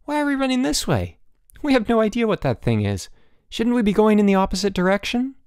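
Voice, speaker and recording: Man doing a high-pitched, jittery schoolboy. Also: huge pitch swings, fast talker, gravelly, a little mellow, no room sound, clean studio sound, exaggerated voice. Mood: alarmed. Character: jittery, wary schoolboy sidekick, quick with sarcasm.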